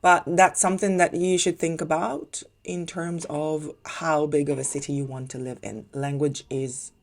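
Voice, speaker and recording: A woman's voice: low-pitched, steady tone, moderate pace, creaky. A young Ukrainian woman with a Ukrainian accent, a non-native English speaker, giving chatty step-by-step advice in a casual vlog. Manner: chatty, explaining step by step with fillers. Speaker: English teacher vlogger.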